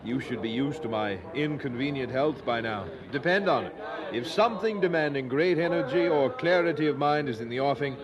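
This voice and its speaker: A man's voice: mid-range voice, a little fast, highs cut off, a crowd in the background, lightly acted. A man acting an earnest, resolute eighteenth-century preacher in an audio drama, speaking formally and emphatically. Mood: wry, resolute.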